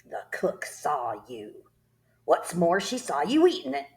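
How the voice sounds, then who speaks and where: A woman voicing a tyrannical headmistress who barks insults and shouts at a pupil in a storybook reading. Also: lowish voice, wildly swooping pitch, takes it slowly, vocal fry, voice pushed up, heavily acted. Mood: furious. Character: bellowing tyrannical female headmistress, bullying.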